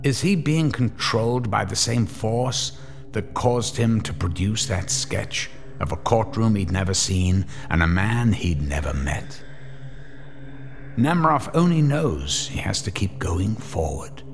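A man's voice: lowish voice, animated, a little slow, creaky, faint music behind. A man narrating an old-time radio drama in a dramatic, suspenseful storytelling manner.

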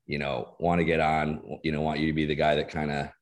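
A middle-aged man speaks as a podcast guest, relaxed and self-deprecating, often pausing on fillers. His voice sits extremely low, deep enough for late-night radio. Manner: laid-back, self-deprecating, many fillers. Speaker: guest, insurance executive. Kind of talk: casual interview chat.

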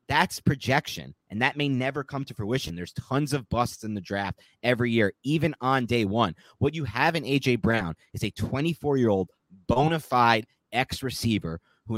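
A man's voice: mid-range voice, no echo, poor audio. A man co-hosting a sports podcast, giving casual, opinionated analysis in a rambling, self-correcting way.

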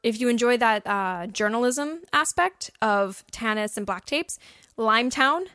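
A woman's voice: fairly high pitch, lively intonation, unhurried, pushed and bright, clean tone, no room sound, clean studio sound. A woman co-hosting a podcast in casual, informal chat, giving enthusiastic recommendations. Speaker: podcast co-host.

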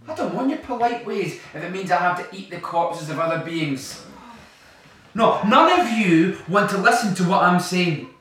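Man acting as righteous activist, preachy, argumentative, high-pitched male voice, sharp edge, very echoey room, audio drama. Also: animated, moderate pace. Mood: furious. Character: angry militant climate activist man, righteous and uncompromising. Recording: very echoey room, distant, faint noise.

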